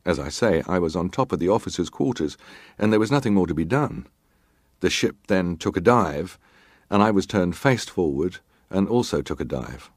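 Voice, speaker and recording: A man's voice: low voice, animated, moderate pace, no echo, studio quality. An actor playing a stoic ship's officer giving testimony at a formal hearing, clipped and matter-of-fact in his answers. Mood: grave recounting. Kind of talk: dramatized reading of hearing testimony.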